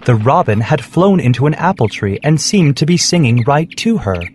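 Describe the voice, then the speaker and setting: A man's voice: a little high, big pitch swings, moderate pace, a little mellow, a little hiss or hum. A man narrating a scripted story for children.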